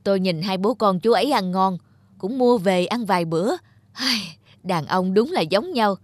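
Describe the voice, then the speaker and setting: A woman playing a chatty, gossipy married neighbour in an animated drama dub. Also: medium pitch, moderate pace, no echo, lightly acted.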